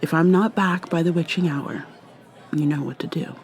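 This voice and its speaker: A woman playing an ancient vampire mermaid in an ASMR roleplay, whispering softly and haltingly with a wistful manner. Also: low voice, a faint crowd. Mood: tender, urgent. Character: ancient female vampire mermaid, guarded and sorrowful.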